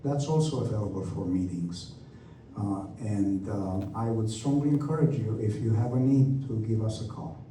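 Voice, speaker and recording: A man with a Romanian accent answers questions at a community meeting in a church hall. He talks in a friendly, chatty way and pokes fun at himself. His voice is dark and mellow, and it is picked up from a distance in an echoey room with a faint hum underneath. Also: fairly low pitch, moderate pace. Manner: friendly, talkative, self-deprecating. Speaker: airport representative. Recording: echoey hall, speaker far from mic.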